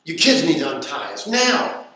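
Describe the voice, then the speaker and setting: A man's voice: a little high, very animated, normal speed, sharp tone. A man playing an exasperated, fed-up father of squabbling kids in a radio play, pleading. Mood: firm, flustered. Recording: echoey room, distant mic.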